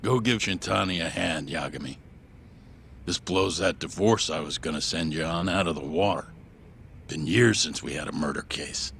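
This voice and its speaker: An actor playing a gruff, world-weary male law office boss in a crime drama game dub, speaking bluntly. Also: rumbling low, moderate pace, fairly bright tone, a little hiss or hum, heavily acted. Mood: gruff, pleased. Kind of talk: English video game dub acting. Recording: music behind the dialogue.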